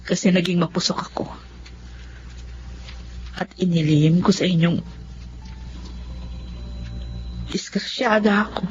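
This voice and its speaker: A woman's voice: lowish voice, moderate pace, a little hiss or hum, close to an everyday voice. A woman acting an earnest young single mother and devoted daughter in a Filipino radio drama, with heartfelt, emotional delivery. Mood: remorseful, earnest. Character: earnest, apologetic grown daughter, self-sacrificing single mother. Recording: dull lo-fi radio recording with music.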